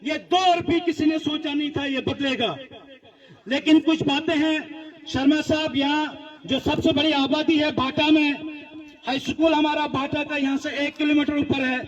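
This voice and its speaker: Very high-pitched man giving a political speech. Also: steady tone, normal speed. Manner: emphatic, repetitive oratory. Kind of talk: political speech at a public rally. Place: public address before a gathering. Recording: dull lo-fi audio, crowd sounds.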